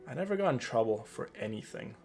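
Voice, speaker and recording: A man's voice: medium pitch, big pitch swings, fast, vocal fry, music in the background. A man from Canada chatting casually as a streamer, joking and rambling over gameplay.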